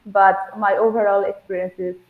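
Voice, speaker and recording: A woman's voice: medium pitch, flat delivery, moderate pace. A woman with an Indian English accent, a pronunciation student, speaking hesitantly with many fillers over a video call. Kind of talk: video-call testimonial interview. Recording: tinny phone-like line, echoey room.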